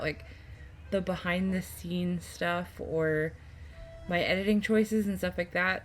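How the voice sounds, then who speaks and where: Chatty woman gamer, music behind. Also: lowish voice. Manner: chatty, rambling, enthusiastic. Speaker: gaming YouTuber. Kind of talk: casual gameplay commentary. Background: game music.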